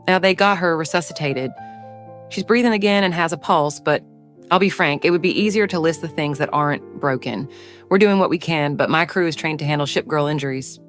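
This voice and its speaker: A woman playing a gruff, steady battleship captain in an audio drama, frank and firm in manner. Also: deep voice, brisk, soft background music, close to an everyday voice.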